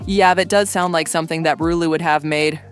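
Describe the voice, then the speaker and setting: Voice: mid-range voice, steady tone, brisk, pitched above the performer's own voice, natural voice. Casting: narrator to play mild, hesitant friend for audiobook, raised voice in reverb, music behind. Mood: wry. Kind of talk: audiobook character dialogue.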